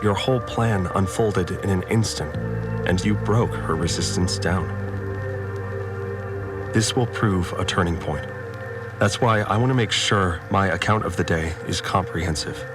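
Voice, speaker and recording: A male actor playing a troubled, obedient farmer confined on a spaceship, giving a halting, confessional audio diary in a science fiction drama. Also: low-pitched. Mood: solemn, devout. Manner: halting, repeats words, confessional. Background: music.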